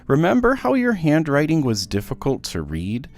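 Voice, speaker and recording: A man's voice: a little high, normal speed, soft background music, pitched above the performer's own voice. A man voicing a patient, encouraging father who reassures and teaches his young son in a children's storybook. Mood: reassuring. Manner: reassuring, instructive, animated.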